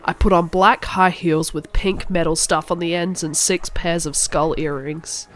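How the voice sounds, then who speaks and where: A woman's voice: on the low side, fairly even intonation, a little slow. A woman narrating a cartoon story in the first person as a melodramatic, angsty goth teenage girl.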